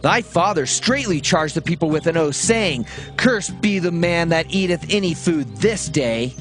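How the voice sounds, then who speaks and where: An anxious soldier in a dramatized Bible recording. His voice is somewhat high and carries grave warning. Quiet music sits behind the words. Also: animated, a little slow. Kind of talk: dramatized audio Bible acting.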